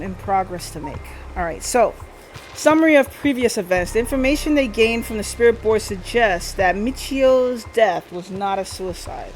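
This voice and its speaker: A woman hosts a casual game livestream with music playing clearly behind her. Her voice sits at an average pitch for a woman. She chats and thinks out loud as she goes, slipping between commentary and reading text aloud. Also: rough recording. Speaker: live game streamer.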